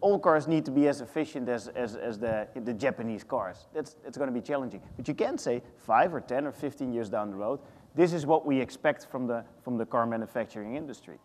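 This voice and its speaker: A man in his thirties with a Dutch accent, speaking as a panelist in an animated way, often restarting his sentences. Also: medium pitch, a little fast. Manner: animated, thinking aloud with restarts. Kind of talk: panel discussion. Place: large conference hall. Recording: reverberant hall.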